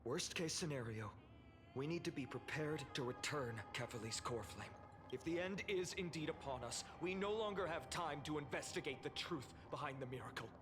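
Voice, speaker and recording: A man's voice: fairly high pitch, lively intonation, moderate pace, over music, close to an everyday voice. A man voicing a resolute, earnest warrior in a video game, urgent and duty-bound. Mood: grave, urgent.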